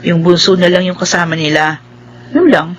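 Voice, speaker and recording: A woman's voice: lowish voice, steady tone, moderate pace, dull audio, slight background noise, close to an everyday voice. A woman acting a kind, attentive assistant, wife and mother in a radio drama, speaking politely and conversationally. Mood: sympathetic.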